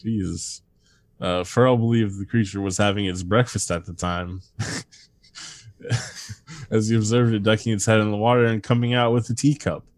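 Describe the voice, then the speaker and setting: Low-voiced man reading with jokes. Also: steady tone, moderate pace, long pauses. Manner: reads stories aloud, breaks off laughing and riffing. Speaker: podcast host reading a countdown list. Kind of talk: comedic reading with banter.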